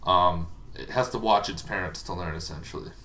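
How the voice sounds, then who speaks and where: A man's voice: deep voice, fairly quick, pushed and bright, slight background noise. A man hosting a casual, informal explainer on tabletop game monsters, talking in a rambling, hesitant way as if thinking aloud.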